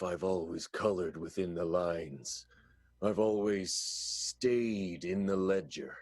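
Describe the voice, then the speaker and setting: A man acting a cold, vengeful accountant in an improvised radio soap, deliberate and melodramatic. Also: lowish voice, darkish tone, dry recording. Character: increasingly menacing, vengeful theater accountant.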